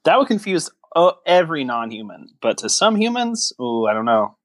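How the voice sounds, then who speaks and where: A man's voice: on the high side, sing-song, moderate pace, no room sound. A man co-hosting a casual podcast, joking in a sarcastic, teasing and mock-grandiose way. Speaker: podcast co-host. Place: recorded remotely over a voice chat app.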